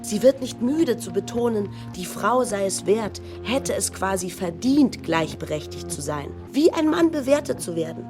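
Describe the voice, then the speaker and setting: A woman's voice: mid-range voice, animated, moderate pace, few pauses, natural voice. An actress playing a skeptical woman commentator who makes ironic, sardonic remarks. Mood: wry. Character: wry woman commentator, skeptical and sharp-tongued. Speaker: commentator in the drama. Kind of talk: scripted radio drama commentary. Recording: clear music underneath.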